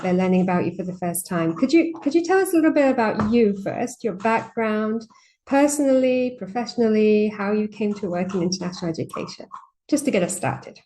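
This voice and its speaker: A woman's voice: mid-range voice, warm tone, a little hiss or hum, poor audio. A woman hosting a podcast interview, asking questions and giving encouraging replies. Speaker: podcast host.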